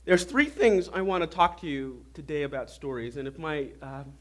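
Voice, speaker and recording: Male pastor, raspy and hoarse from a flu, pitched high, animated and quizzing the crowd. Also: normal speed. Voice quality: normally deep voice, now raspy. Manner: animated preaching, quizzing the audience with questions. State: hoarse, getting over a flu. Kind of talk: sermon. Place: church hall before an audience.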